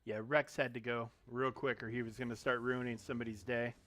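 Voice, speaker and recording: A man streaming a mobile game, thinking aloud in a relaxed, muttering way as he clicks through menus. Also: mid-range voice, brisk. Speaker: gaming streamer. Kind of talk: casual live-stream commentary while playing.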